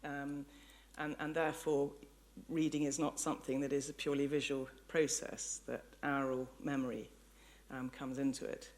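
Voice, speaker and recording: A woman's voice: extremely low pitch, level tone, moderate pace, darkish tone. A woman with an English accent giving a public academic lecture, thinking aloud in reflective, sometimes hesitant phrasing with self-corrections. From England. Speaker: lecturer, professor. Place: lecture hall before an audience.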